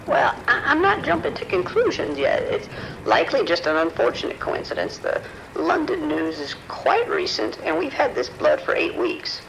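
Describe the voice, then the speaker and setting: A man's voice: very high voice, huge pitch swings, moderate pace, gravelly, fairly bright tone, a little hiss or hum, heavily acted, low-quality recording. A man playing a worried, apologetic scientist in an audio drama, speaking carefully and formally with hesitations. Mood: hesitant, formal. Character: apologetic, worried research scientist reporting trouble, male. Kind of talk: audio drama phone dialogue.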